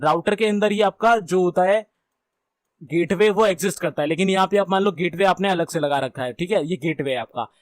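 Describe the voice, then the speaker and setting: A man's voice: high-pitched, brisk, no echo. A man with an Indian accent giving an informal, explanatory technical lesson in a conversational way, repeating and rephrasing his points. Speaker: computer networking instructor. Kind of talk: informal explanatory lecture mixing Hindi and English.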